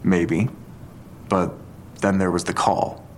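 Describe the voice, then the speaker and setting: An actor playing a skeptical police detective in an audio drama, talking in a terse, dry, deliberate way. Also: male voice, sits low, fairly even intonation, slight background noise. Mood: pensive, skeptical.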